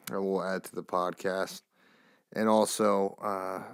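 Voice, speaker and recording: A man's voice: lowish voice, clean tone, no echo, clean studio sound. A man hosting a podcast with a nasal voice, rambling casually in a loose, profane way with meandering asides. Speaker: podcast host.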